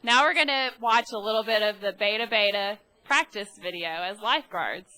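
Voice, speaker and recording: A woman interviewing performers at a campus show, upbeat and quick with short affirmations. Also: medium pitch, animated, bright, forceful tone, no echo, rough recording. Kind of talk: casual event interview.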